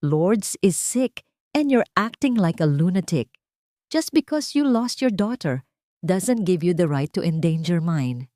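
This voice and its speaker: A woman voicing an angry, wealthy middle-aged man who shouts cold commands. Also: fairly low pitch, expressive, unhurried, no room sound, studio quality. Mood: furious. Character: harsh rich middle-aged father, furious.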